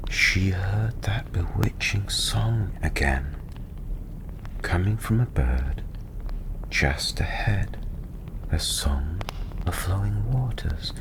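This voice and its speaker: A man softly telling a fantasy story in ASMR style, breathy and often near a whisper, gentle and unhurried with long pauses. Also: low-pitched, expressive, background noise.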